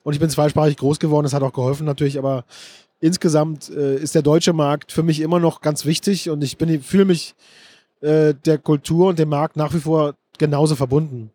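A middle-aged man answers interview questions at a book fair, searching for words and restarting sentences. His voice is mellow and fairly high for a man. The sound is close and dry. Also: steady tone, a little fast, no echo. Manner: thoughtful, restarts sentences often, many fillers. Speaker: interview guest, screenwriter and director. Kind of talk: casual interview talk.

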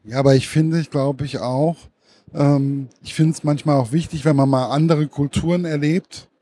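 A man conducts an interview, feeling his way through his questions with fillers and repeated words. His voice is slightly husky and mellow, fairly high for a man. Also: fairly even intonation, moderate pace. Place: book fair.

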